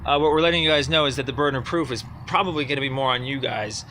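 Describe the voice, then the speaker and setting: A man's voice: mid-range voice, rapid-fire, sharp tone, slight background noise. A man calling in on the phone, explaining a complaint in a hesitant, rambling way. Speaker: caller. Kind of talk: phone conversation, arguing a complaint.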